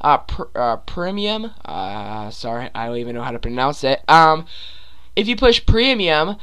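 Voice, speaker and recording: A man's voice: mid-range voice, very animated, unhurried, fairly bright tone, clean tone. A young man with a sore throat and stuffy nose, rambling with lots of fillers while walking through a screen-recorded game tutorial. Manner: rambling, many fillers and restarts. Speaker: gaming YouTuber.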